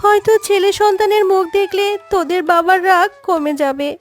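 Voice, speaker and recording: A woman plays a worried, tender mother fox in a cartoon. Her voice is very high and earnest, with music playing clearly behind it. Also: fairly quick. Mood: consoling. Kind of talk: children's cartoon dialogue. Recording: background music under voice.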